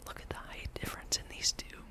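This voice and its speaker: A person speaking in a breathy whisper, gently rambling and musing aloud while hosting an ASMR gameplay video. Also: quick pace. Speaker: gamer and video host.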